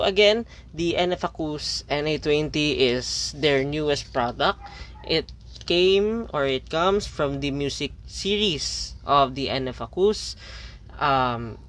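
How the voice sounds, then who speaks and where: A man's voice: a little high, fairly bright tone, slight background noise. A man whose native language is Filipino, casually reviewing a product on camera, with frequent fillers, restarts and "okay" checks between points. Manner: hesitant, many fillers and restarts.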